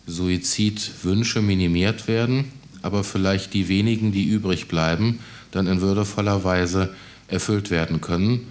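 Low-pitched man making a formal panel statement. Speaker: panelist, law professor. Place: conference room at a panel table. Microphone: table gooseneck microphone.

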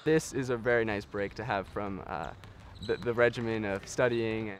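A man's voice: on the low side, fairly even intonation, normal speed, a little hiss or hum. A young man from Texas, a missionary, speaking earnestly and reflectively in an outdoor interview. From Texas, USA. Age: young adult.

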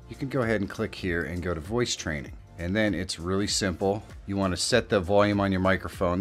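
Low-pitched man narrating a software tutorial. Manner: explanatory, step-by-step. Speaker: tutorial host. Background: background music.

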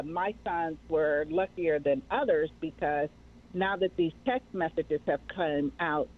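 A middle-aged woman with an American accent, talking conversationally as an interview guest. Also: deep voice. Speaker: guest, mental health nonprofit director. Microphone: remote call. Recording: narrow tinny radio-like call audio.

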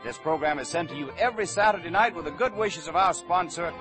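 High-pitched 1940s male radio announcer. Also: animated, fast. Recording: vintage 1940 radio broadcast, music behind. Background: music.

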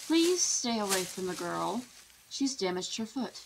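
A woman's voice: medium pitch, big pitch swings, unhurried, creaky, a little hiss or hum, poor audio. A woman playing a composed, proud paleontologist in an audio drama, speaking in a formal, explanatory way. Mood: suspicious. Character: composed, proud female paleontologist at dinosaur facility. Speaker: actress in a fan audio drama. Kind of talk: full-cast audio drama acting.

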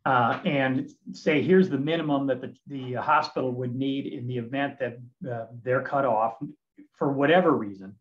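A man's voice: medium pitch, normal speed. Decisive man on a dull video call. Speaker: committee member. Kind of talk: meeting discussion.

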